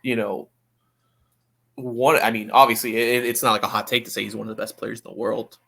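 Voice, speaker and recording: A man discussing soccer casually as a podcast pundit, halting and often repeating words as he qualifies his points. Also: lowish voice, level tone, gravelly, sharp tone.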